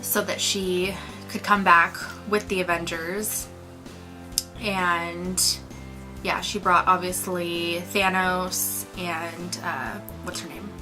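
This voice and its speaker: A woman's voice: lowish voice, flat delivery, slow, music in the background, low-quality recording. A woman co-hosting a casual movie review, talking informally and enthusiastically with slang.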